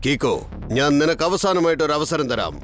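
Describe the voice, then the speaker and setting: A man's voice: on the high side, lively intonation, fairly quick, fairly bright tone, faint music behind. A man playing a stern, accusing kung fu master in a cartoon, with a commanding delivery. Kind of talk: Malayalam cartoon dub acting.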